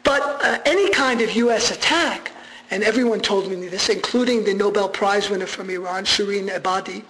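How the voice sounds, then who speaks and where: High-voiced animated male lecturer. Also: fairly quick, poor audio. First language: Armenian. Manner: animated, conversational, restarts with fillers. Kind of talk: public lecture.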